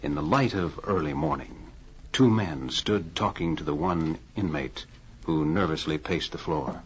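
A man's voice: extremely low pitch, a little slow. A man narrating a radio adventure serial in a formal, declamatory, old-time announcer style. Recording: noisy, muffled old radio recording.

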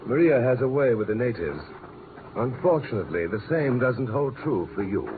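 Man playing a brusque doctor, lively intonation. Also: medium pitch, moderate pace, natural voice. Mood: ominous. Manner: curt, commanding. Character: brusque, stern, ominous jungle clinic doctor. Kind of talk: 1950s radio drama acting. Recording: tinny, noisy old radio broadcast.

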